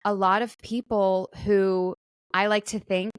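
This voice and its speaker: A woman co-hosts a casual chat show in a musing, conversational manner. Her voice sits at a middle pitch. The sound is dry, with hardly any room around it. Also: level tone, measured pace, clean tone, dry recording. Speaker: podcast co-host.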